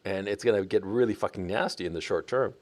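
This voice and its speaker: A man's voice: fairly low pitch, fast talker, darkish tone. A man hosting a livestream, needling and mocking his guest in a blunt, profane manner. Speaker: livestream host. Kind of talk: confrontational livestream debate.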